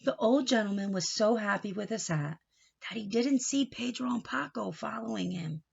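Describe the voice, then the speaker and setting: A woman reading a picture book aloud to children, expressive, with questioning and explanatory asides. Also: mid-range voice, normal speed, gravelly, slightly rough, dull audio. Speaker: storybook reader teaching children.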